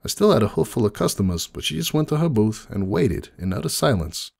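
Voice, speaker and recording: A man voicing a grieving, kindly cartoon pony cafe owner in an emotional, halting dramatic monologue. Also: lowish voice, sing-song, creaky, darkish tone, heavily acted. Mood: heartbroken. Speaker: dramatic reader of fan fiction. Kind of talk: dramatic first-person monologue reading.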